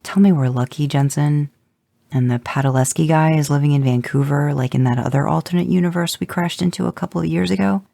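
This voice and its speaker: A man's voice: fairly high pitch, fairly even intonation, fairly quick, gravelly, dark tone, dry recording. A man reading fan fiction, voicing a level-headed male hunter who gives firm, urgent instructions.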